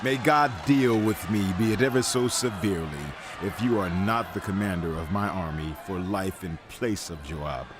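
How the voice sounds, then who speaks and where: A man's voice: low voice, moderate pace, hardly any pauses, background noise, lightly acted. An actor playing a firm yet merciful king, speaking with declamatory authority in a dramatized audio Bible. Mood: rousing, earnest.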